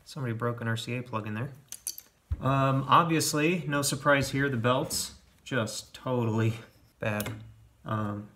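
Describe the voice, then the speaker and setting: A man's voice: mid-range voice, fairly bright tone, a little hiss or hum. A man in his forties explaining a repair casually, in a plainspoken, matter-of-fact and slightly self-deprecating way. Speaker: home repair video host. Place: indoor home workshop.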